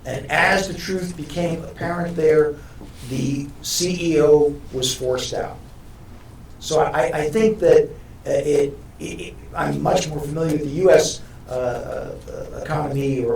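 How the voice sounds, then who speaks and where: Halting man panelist in an echoey hall. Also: a little high, darkish tone, recorded from a distance, slight background noise. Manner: halting, many repeated words.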